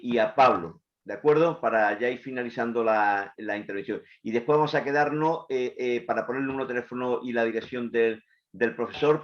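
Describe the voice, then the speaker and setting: A man hosting and moderating an online event informally. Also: medium pitch. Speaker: host, moderator. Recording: dull lo-fi online stream.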